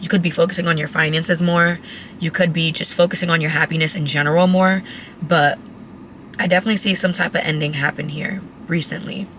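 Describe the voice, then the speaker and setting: A woman who has lost her voice, raspy and cracking, giving a chatty, blunt tarot reading to her viewers. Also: deep voice, steady tone, fairly quick, fairly bright tone, slight background noise. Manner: chatty, blunt, swears casually. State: hoarse, voice cracking. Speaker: tarot reader. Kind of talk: casual tarot reading to camera.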